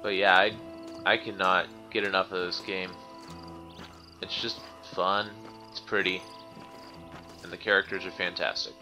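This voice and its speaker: A man's voice: deep voice, level tone, unhurried, long pauses, over music. A man hosting a let's play, chatting informally in casual gameplay commentary.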